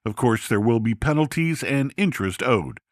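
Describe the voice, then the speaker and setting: A man voicing a calm, blunt, matter-of-fact accountant in a podcast story. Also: medium pitch, no room sound, clean studio sound, lightly acted. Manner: measured, blunt.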